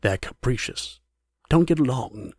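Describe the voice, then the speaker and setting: A man's voice: mid-range voice, expressive, unhurried, a little mellow, no room sound, studio quality. A man narrating a scripted fantasy story as its storyteller.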